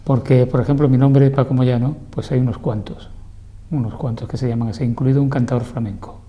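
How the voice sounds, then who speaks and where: A man's voice: medium pitch, fairly even intonation, fairly quick, lo-fi sound. An older man hosting a local TV interview program, courteous and chatty, speaking in a studio. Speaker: TV program host. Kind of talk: interview host chat.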